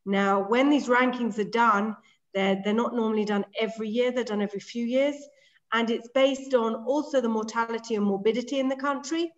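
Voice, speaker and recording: A middle-aged woman with an English accent presents a lecture online, speaking in a clear, organised way with frequent ums. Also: fairly high pitch, a little fast, lo-fi sound. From England. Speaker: medical director giving a webinar lecture. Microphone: video call.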